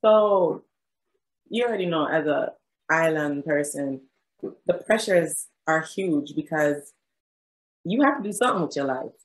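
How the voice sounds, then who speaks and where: Guest spot: woman, low voice, frank and emphatic, echoey home room on a video call. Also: lively intonation, a little slow, long pauses. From Jamaica. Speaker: podcast guest. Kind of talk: casual interview. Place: small room indoors. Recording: roomy echo.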